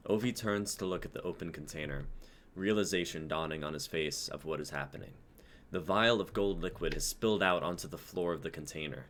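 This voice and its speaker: Deep-voiced young man narrating. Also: fairly quick, a bit bright, slight background noise. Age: young adult. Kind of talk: scripted dramatic narration.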